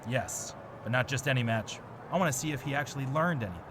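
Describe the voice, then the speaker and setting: A man's voice: mid-range voice, lively intonation, fast, natural voice. Guy playing resentful self-righteous wrestler cutting a game promo, mid voice, noisy background. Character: bitter, self-righteous male wrestler and trainer. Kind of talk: acted backstage interview promo. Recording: clear ambient background noise.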